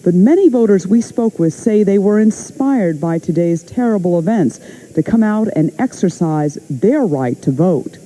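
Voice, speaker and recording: A woman's voice: mid-range voice, lively intonation, moderate pace, warm tone, poor audio. An American woman giving a scripted TV news report.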